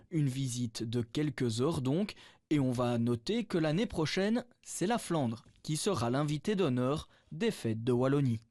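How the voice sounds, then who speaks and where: A man reads a television news report in a steady, informative voice-over. The recording is close and dry. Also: medium pitch, no room sound.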